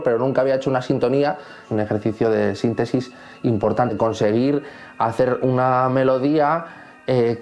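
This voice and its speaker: A man from Asturias, a composer reflecting on his own work in an interview and pausing to search for words. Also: medium pitch, darkish tone. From Asturias, Spain.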